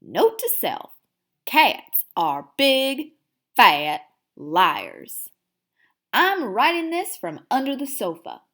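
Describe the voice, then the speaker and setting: Storybook hamster diarist, female reader: high-pitched, melodramatic, big swoops, theatrical emphasis for young listeners. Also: huge pitch swings, heavily acted. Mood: alarmed, indignant. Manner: theatrical, with drawn-out emphasis and hushed asides. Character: excitable, dramatic little hamster telling his diary. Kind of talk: expressive children's read-aloud.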